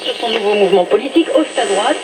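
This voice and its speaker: A woman reports the news on radio at a quick pace. Her voice comes through an old set, buried in very heavy noise. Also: medium pitch, animated.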